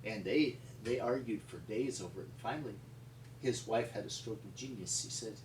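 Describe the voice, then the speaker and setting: An American man telling stories in an unscripted, conversational way, with a rambling, halting delivery. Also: on the low side. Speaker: paleontologist and museum founder telling stories. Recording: echoey, distant mic with background noise.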